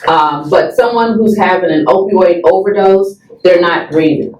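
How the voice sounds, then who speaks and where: A woman's voice: fairly low pitch, moderate pace, darkish tone, lo-fi sound, a little hiss or hum. A woman giving a conversational, instructive explanation as a guest expert, often checking in with short tag questions. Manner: checks in often with right and okay. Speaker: guest expert in substance use and overdose prevention education. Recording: roomy echo, distant from the mic.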